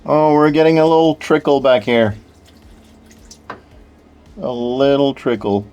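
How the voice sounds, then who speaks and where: A man's voice: medium pitch, unhurried, darkish tone, clear, resonant tone, faint music behind. A man from Sweden, a native Swedish speaker, chatting casually to viewers while he works on a car project in his garage and thinks aloud. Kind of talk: casual garage work commentary. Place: home garage.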